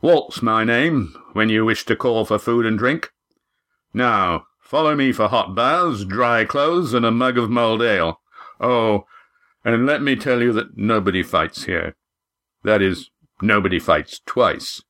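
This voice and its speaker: Guy doing a gruff stocky tavern keeper from a storybook, growly low rumble, folksy n wry w a bit of menace. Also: lively intonation, a little slow, sharp tone. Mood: genial, warning. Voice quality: deep, rumbling, growling bass. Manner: folksy, wry, plain-spoken warnings. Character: gruff, burly, short innkeeper, genial but menacing.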